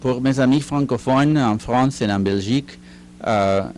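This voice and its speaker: An older retired man, a guest in a studio interview, answering slowly with many fillers and restarts. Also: mid-range voice, darkish tone, a little hiss or hum. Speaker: guest, retired teacher and writer. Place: recording studio.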